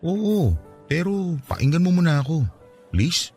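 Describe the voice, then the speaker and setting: A man's voice: fairly high pitch, big pitch swings, measured pace, a little mellow, breathy edge, soft background music, exaggerated voice. An actor with a Filipino accent playing an earnest, lovestruck young man pleading his case in a radio drama. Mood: earnest confession.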